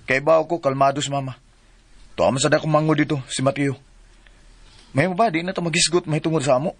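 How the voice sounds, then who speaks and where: A man's voice: on the high side, steady tone, quick pace. A man with a Cebuano Filipino accent acting an easygoing, offhand young son in a radio drama. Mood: casual, reassuring.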